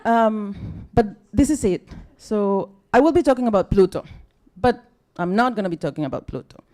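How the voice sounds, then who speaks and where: A woman's voice: medium pitch, expressive, normal speed, darkish tone. A young woman from Mexico with a Spanish accent, speaking English as a second language, giving a tired, chatty, apologetic talk to a live audience. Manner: chatty and apologetic, with many fillers and restarts. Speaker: guest speaker at a science talk night. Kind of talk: casual, self-deprecating live talk. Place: bar or event room with a live audience.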